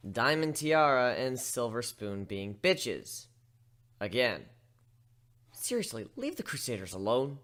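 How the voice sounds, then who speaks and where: Animated man reading a story. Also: a little high. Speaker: amateur dramatic story reader. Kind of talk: first-person scripted narration.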